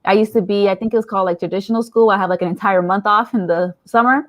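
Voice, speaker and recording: A woman's voice: medium pitch, steady tone, fast, dry recording. A young adult woman from San Diego, chatting casually as an interview guest and laughing often. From San Diego, USA. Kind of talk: casual chat.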